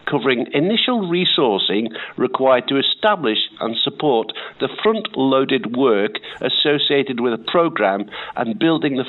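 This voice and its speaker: A man calling a radio phone-in with a croaky voice, sounding indignant and sarcastic. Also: medium pitch. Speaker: caller. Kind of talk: phone-in call, reading out and criticising. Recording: tinny phone line.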